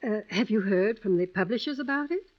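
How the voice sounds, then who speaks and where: An actress playing a kindly, wistful elderly widow in an old-time radio drama, gentle and hesitant, with fond endearments. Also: female voice, medium pitch, animated, a little fast, lightly acted. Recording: dull vintage radio recording.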